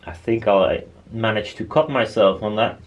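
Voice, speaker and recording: A man's voice: on the low side, lively intonation, moderate pace, muffled recording. A man talking casually and bluntly as he presents his own DIY build, rambling informally. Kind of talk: casual workshop chat.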